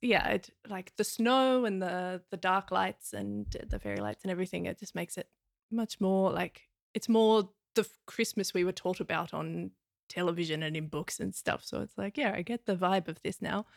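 A woman chats casually with a noticeably creaky, gravelly voice. She fills her talk with "like" and often trails into a hush, and the sound is dry and close. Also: lowish voice, expressive, moderate pace, dry recording. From Australia. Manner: chatty, lots of "like", drops to a hush. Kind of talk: casual podcast chat.

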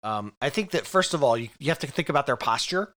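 Measured man steering the discussion. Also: mid-range voice, animated, a bit bright. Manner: leads the topic, measured explaining. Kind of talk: explanatory discussion.

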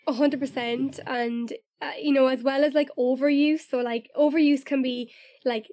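A woman with a high voice hosts a relaxed conversation about singing. She speaks in an easygoing way, with plenty of fillers and restarts. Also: normal speed. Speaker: host and singing teacher. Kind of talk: casual podcast chat.

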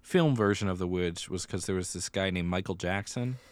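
A man's voice: low-pitched, moderate pace, no room sound. A man co-hosting a podcast, chatting casually and conversationally, often repeating a phrase for emphasis. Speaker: podcast co-host. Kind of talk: casual chat about musical theater and pop culture.

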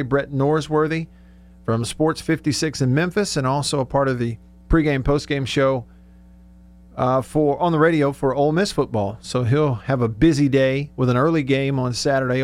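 A man hosting sports radio from a studio in a folksy, rambling, chatty way. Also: mid-range voice. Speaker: sports radio show host. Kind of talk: casual chat.

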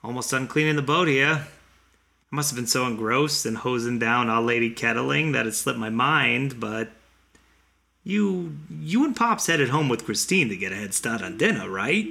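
A young American boyfriend with a very sharp, forceful voice that swings widely. He rambles and swears in found-footage drama style, over noticeable background noise like a phone message. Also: mid-range voice, creaky. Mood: uneasy, apologetic. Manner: rambling, profane, self-questioning. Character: frantic, foul-mouthed young boyfriend searching for his girlfriend. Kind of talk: naturalistic found-footage audio drama acting.

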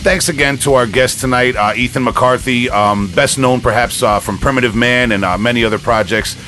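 A man's voice: mid-range voice, a little fast, pushed and bright. A man hosting a casual music podcast, talking in a rambling, enthusiastic fan's way. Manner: rambling, enthusiastic fan talk. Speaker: podcast host. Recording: music playing behind the voice.

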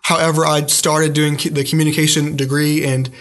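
Young man talking haltingly in a flat tone. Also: a little high. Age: young adult. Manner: hesitant, many fillers and restarts. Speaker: student guest. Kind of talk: casual interview.

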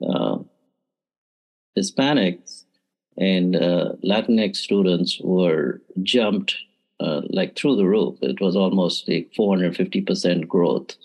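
A man's voice: sits low, darkish tone, rough recording. A middle-aged man from India, a math education professor, giving thoughtful interview answers with frequent "uh" and "you know".

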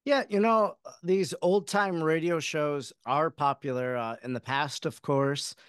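An American man with a smooth, fairly high voice hosts a conversational interview. He talks in a wordy, rambling way, and the sound is dry and close. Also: steady tone, a little slow, dry recording. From Wisconsin, United States. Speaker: podcast host, interviewer.

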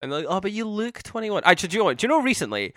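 Man, late twenties, bright tense tone, dry close sound, rambling casual chat. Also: sits high, big pitch swings, racing, dry recording. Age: late 20s. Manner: rambling, full of restarts and "like". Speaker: podcast co-host.

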